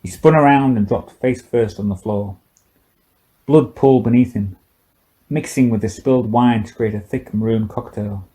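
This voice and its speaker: A man's voice: fairly low pitch, moderate pace, mellow, low-quality recording. A man reading a novel aloud as an audiobook narrator in a scripted storytelling style.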